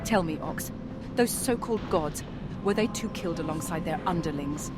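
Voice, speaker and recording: A suspicious troll adventurer, voiced by an actor, asks pointed questions in a middle-pitched voice. Music plays clearly behind. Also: normal speed, natural voice. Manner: questioning and sharp. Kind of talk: game cutscene voice acting.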